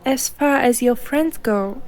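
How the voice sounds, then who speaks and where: A woman's voice: sits high, takes it slowly, a little mellow. A woman playing a sly young coach who poses as a caring friend in a comic fan dub, breezy and bossy. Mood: blunt, pushy.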